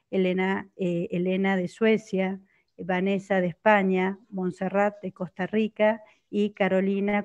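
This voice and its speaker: An Argentine woman opens a webinar, pausing often with fillers between her phrases. Her voice is mellow and mid-pitched. The audio is dull and lo-fi, as on a video call. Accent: Argentine Spanish. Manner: halting speech with many fillers. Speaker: organizer, host. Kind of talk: opening remarks at an online panel. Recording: dull lo-fi sound.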